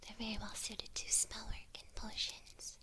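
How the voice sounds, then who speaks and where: A woman playing a kindly witch who gently instructs her apprentice in a breathy, unhurried ASMR whisper. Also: medium pitch, monotone, lightly acted. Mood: gentle, instructive.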